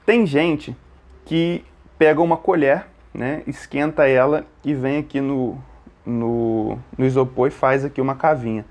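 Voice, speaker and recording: A Brazilian man speaks at an average pitch as he presents a practical gear tutorial. His delivery is informal and explanatory, going step by step through hands-on work. He often adds short tag questions to check that the listener is following. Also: measured pace, darkish tone.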